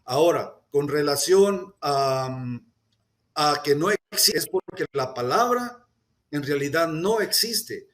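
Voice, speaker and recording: A man speaking earnestly at a press conference, deliberate, with hesitations and restarts. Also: fairly high pitch, lively intonation, a bit bright. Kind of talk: formal public statement.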